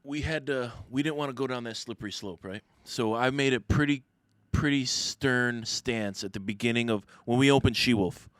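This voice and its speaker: A man's voice: mid-range voice, moderate pace, fairly bright tone, no room sound. Man, American accent, clean resonant voice, emphatic plain talk, casual interview, close dry recording. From Detroit area, United States. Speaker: podcast guest, restaurateur.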